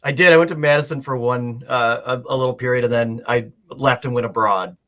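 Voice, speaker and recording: Bright-voiced American man, chatting casually. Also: medium pitch, fairly quick. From Wisconsin, United States. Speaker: guest on a live show. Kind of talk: casual chat.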